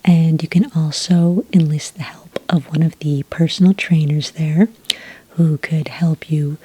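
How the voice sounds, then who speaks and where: A friendly enrollment witch, played by a woman, whispers her explanations in a deep, breathy hush. Her tone is mellow and the sound is dry and close, with chatty little pauses and ums. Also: fairly even intonation, dry recording. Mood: whispering, helpful. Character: friendly adult female enrollment witch clerk. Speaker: ASMR roleplay host. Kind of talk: ASMR roleplay.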